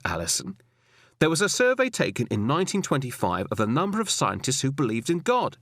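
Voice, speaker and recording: A man's voice: fairly high pitch, fairly bright tone, no room sound, clean studio sound, heavily acted. A man voicing a stubborn, defiant male police detective in an audiobook, insistent and argumentative. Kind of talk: audiobook character dialogue.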